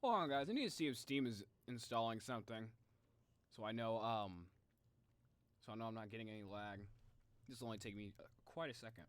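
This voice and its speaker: A man's voice: lowish voice, expressive, fairly quick, clear, resonant tone. A man doing casual, rambling livestream commentary as he reacts to game cutscenes in an informal way.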